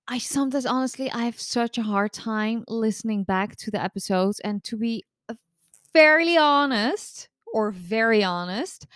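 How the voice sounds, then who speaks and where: A woman's voice: mid-range voice, no echo, clean studio sound. A nasal-voiced woman, hosting her own podcast in a chatty, rambling way with many restarts. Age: 30s. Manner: chatty, rambling with frequent restarts and self-corrections. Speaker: podcast host and recovery coach. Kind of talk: casual solo podcast chat.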